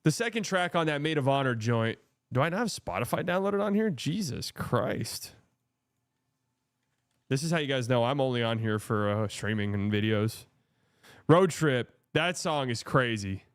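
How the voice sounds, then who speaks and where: A man's voice: medium pitch, expressive, moderate pace, clear, resonant tone. A man hosting a live watch-party stream, chatting casually and bluntly about the game and answering his chat.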